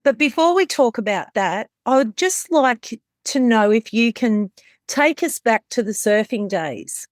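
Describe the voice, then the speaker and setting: A woman's voice: a little high, animated, unhurried, no room sound, clean studio sound. A woman hosting an interview podcast who asks long, admiring questions with some hesitations. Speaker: podcast host. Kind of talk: interview host.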